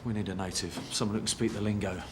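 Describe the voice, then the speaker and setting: Man playing a brisk British police sergeant. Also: fairly low pitch, steady tone, background noise, lightly acted. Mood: briefing. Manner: brisk, matter-of-fact. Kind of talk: TV police drama acting.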